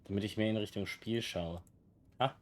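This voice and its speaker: Casting: male game streamer, lowish voice, casual commentary, clear background noise. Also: fairly even intonation, normal speed. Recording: noisy game sounds behind voice.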